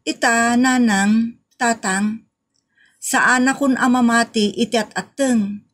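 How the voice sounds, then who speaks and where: A woman's voice: on the high side, fairly even intonation, slow pace, fairly bright tone. A woman playing an earnest, repentant grown child with a sincere, pleading manner in a comedy audio drama. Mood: grateful, resolved.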